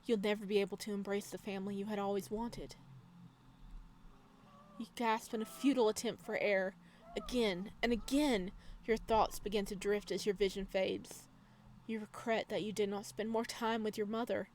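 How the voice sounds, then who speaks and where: A woman doing a video game let's play, reading game text aloud with expressive drama and adding casual asides. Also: medium pitch, normal speed, a little hiss or hum. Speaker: gaming video creator.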